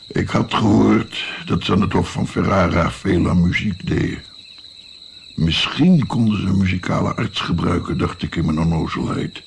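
A man's voice: low-pitched, normal speed, vocal fry, slight background noise. An actor playing an older musician and physician, recalling his naive youth in a reflective, hesitant, wry way in a radio play.

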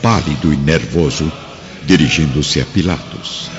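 A man's voice: a bass voice, unhurried. A man giving dramatic scripted narration as the storyteller of a radio drama. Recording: dull, long reverb, music behind.

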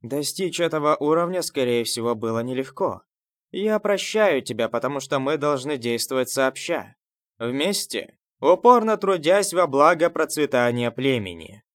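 Guy doing a proud young tribe leader for an audiobook, dignified speechy tone, lots of pitch movement, kinda fake generous. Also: sing-song, moderate pace, no echo, lightly acted. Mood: dignified, magnanimous. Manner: dignified, declamatory. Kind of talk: audiobook character voice.

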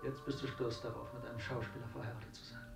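An actor playing a self-assured, ambitious husband in a radio drama, explaining things emphatically and with self-satisfaction. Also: male voice, medium pitch, little pitch movement, fast talker, gravelly, roomy sound, distant mic, loud music behind the voice. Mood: explaining, pleased.

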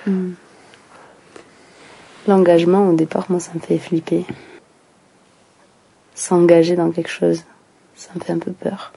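Husky, mellow woman, flat intonation. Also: lowish voice, measured pace, long pauses, low-quality recording. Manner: tentative, thinking aloud. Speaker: dance student. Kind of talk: casual personal reflection.

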